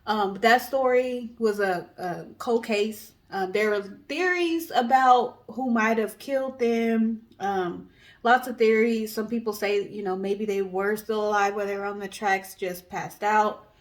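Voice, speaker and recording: A woman's voice: medium pitch, unhurried. A woman co-hosting a storytelling guessing show, telling stories in a casual, conversational way.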